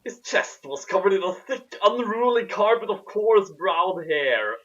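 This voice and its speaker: A man's voice: high-pitched, expressive, measured pace, fairly bright tone, highs cut off. A man reading fan fiction aloud theatrically, with sarcastic asides. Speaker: narrator.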